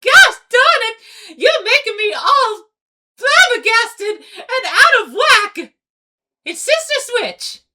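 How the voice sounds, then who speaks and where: Very high, melodramatic pony big sister. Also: female voice, sing-song, slow pace, sharp tone, full character voice. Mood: flustered. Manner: wailing, overwrought delivery. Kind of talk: comic dub cartoon acting.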